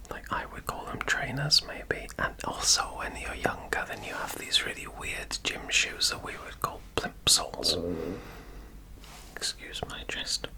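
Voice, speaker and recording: A man from England with a mixed English and Welsh accent, doing a whispered ASMR accent tag, soft and hesitant with many pauses. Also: fairly high pitch, rough recording. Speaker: guest on girlfriend's ASMR channel.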